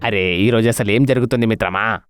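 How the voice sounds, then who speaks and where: A man voicing a hungry, easily spooked wolf hunter in an animated story, talking plainly to his companion. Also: on the low side, fast talker, over music, natural voice. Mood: puzzled, hungry.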